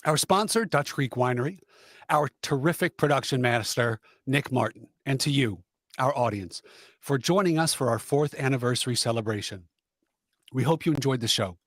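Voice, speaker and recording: A man serves as announcer for a radio show. He reads scripted remarks at a medium pitch, with very little room sound. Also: no room sound, studio quality.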